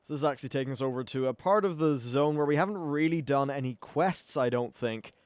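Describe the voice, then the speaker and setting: A man's voice: medium pitch, fast, darkish tone, no echo. A man giving casual live-play game commentary in a chatty, wry, casually sweary way. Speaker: gaming video host. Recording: clear background noise and game ambience.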